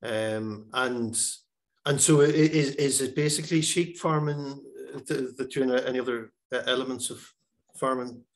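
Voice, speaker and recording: A middle-aged man hosting an interview over a video call, warmly hesitant with many pauses and restarts. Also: mid-range voice, fairly even intonation. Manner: halting, many fillers and restarts, encouraging. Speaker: host. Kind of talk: interview host. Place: home room.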